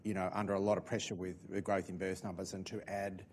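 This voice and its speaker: A man speaking on a hospital panel, hesitant and halting. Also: sits low, flat delivery, darkish tone, reverberant, a little hiss or hum. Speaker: panelist, clinician. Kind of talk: panel discussion.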